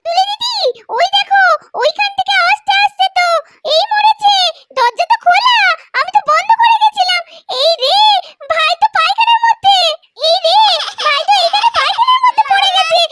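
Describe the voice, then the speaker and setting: Little village girl for a doll tale: eager, exclamatory, tiny squeaky pitch, very noisy recording. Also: lively intonation, normal speed. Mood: alarmed. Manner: exclamatory, childlike. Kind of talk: children's doll-play story voices.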